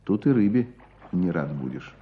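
An actor playing an earnest, compassionate storyteller, narrating village life in a radio drama. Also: male voice, low voice, sing-song, moderate pace, vocal fry, slight background noise, exaggerated voice. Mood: storytelling. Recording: dull muffled radio play recording.

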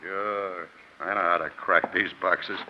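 An actor playing a cocky, ruthless safecracker, blunt and scornful, in an old radio crime drama with muffled, noisy sound. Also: male voice, deep voice, steady tone, takes it slowly. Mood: brisk, cocky.

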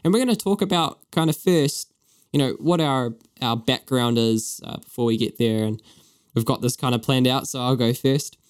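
A man's voice: mid-range voice, normal speed, clean tone. A young man from New Zealand with a New Zealand accent, co-hosting a podcast in a chatty, upbeat, joking way. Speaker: podcast co-host. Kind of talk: casual chat.

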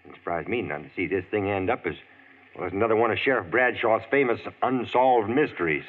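An actor playing a folksy, gossipy small-town diner cook in a 1940s radio drama, on an old tinny recording. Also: male voice, fairly low pitch, fairly quick, close to an everyday voice.

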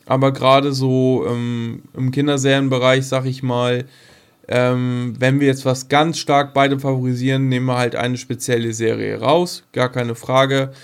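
A man's voice: mid-range voice, little pitch movement, unhurried, smooth. A man chatting casually as a podcast co-host, rambling informally and talking straight to the listeners.

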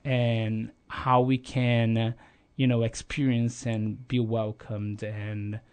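A man's voice: mid-range voice, level tone, slow pace, darkish tone, clean tone. A man in his thirties from Brazil, speaking English with a Brazilian accent as an interview guest, earnest and hesitant with many fillers and restarts. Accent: Brazilian Portuguese. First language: Portuguese. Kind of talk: casual interview conversation.

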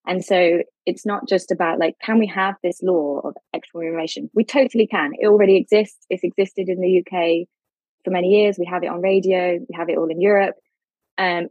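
Low-voiced woman, dry close sound, informal explainer. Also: steady tone, a little fast, a little mellow, dry recording, dull audio. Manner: informal, explains with everyday analogies. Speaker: legal expert guest. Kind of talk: conversational explanation in a podcast interview.

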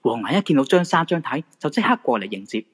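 A man's voice: medium pitch, very animated, fast, breathy edge. A man with a Hong Kong Cantonese accent narrating a supernatural radio drama as a storyteller. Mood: suspenseful storytelling.

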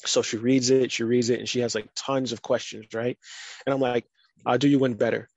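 A man in a casual podcast conversation, talking in a relaxed, rambling way with lots of fillers. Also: mid-range voice, brisk, no room sound, highs cut off. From United States. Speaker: guest.

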